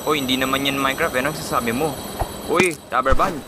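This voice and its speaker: A man voicing an excitable, defiant young cartoon stick-figure fighter in a dramatic, taunting, exclamatory way. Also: medium pitch, fast, noisy background, natural voice. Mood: indignant. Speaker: amateur voice actor. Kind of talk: fan dub of an animated stick-figure fight.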